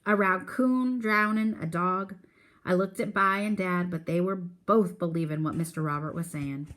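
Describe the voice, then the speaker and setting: A woman's voice: on the low side, normal speed. Woman reading a children's novel aloud. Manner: expressive storytelling with character voices. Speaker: teacher reading aloud to a class.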